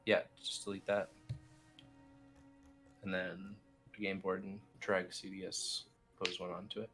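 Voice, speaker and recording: A man with a low voice guides someone through software one click at a time. His delivery is informal and a bit hesitant, like a colleague instructing over a screen. Faint music plays underneath. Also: level tone, a little slow. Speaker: team lead explaining software steps. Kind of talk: casual step-by-step screen tutorial.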